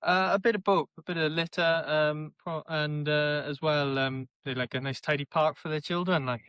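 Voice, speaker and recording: A young man with a South Wales valleys accent, answering interview questions in a plainspoken, halting way. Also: fairly high pitch, fairly even intonation, a bit bright, clear, resonant tone, no room sound. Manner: plainspoken, halting, searches for words. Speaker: interviewee, election candidate.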